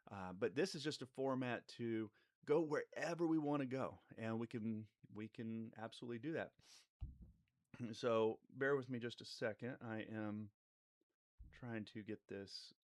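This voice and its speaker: A man's voice: on the low side, no room sound, studio quality. A middle-aged man with a congested voice from a cold, a family therapist hosting a casual live stream, meandering with plenty of fillers. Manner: relaxed and meandering, many fillers and restarts.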